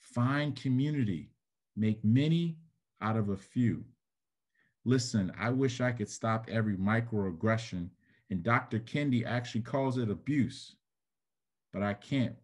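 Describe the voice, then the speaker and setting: A man delivers a spoken word poem at a middling pitch. His phrasing is deliberate and rhetorical, with an earnest manner throughout. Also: darkish tone. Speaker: poet, educator and counselor. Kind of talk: spoken word poetry recital.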